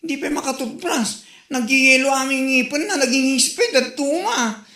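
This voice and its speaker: A woman's voice: high-pitched, moderate pace, bright, forceful tone. An actress playing a catty, mocking neighbour woman in a comedy audio drama, with an Ilocano accent from the northern Philippines. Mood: mocking, gossiping. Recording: echoey room.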